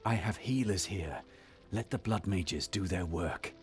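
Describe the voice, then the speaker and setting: An actor playing a noble, resolute young warrior leader in a fantasy game, earnest and commanding. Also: male voice, low-pitched, measured pace, soft background music. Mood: concerned. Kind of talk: fantasy video game voice acting.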